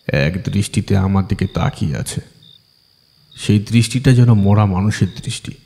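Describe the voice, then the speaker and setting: Guy reading a scary story, deep-ish soft dark voice, dramatic, big reverb, crickets behind. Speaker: audiobook narrator. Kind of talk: dramatic first-person horror story narration. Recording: voice with long reverb.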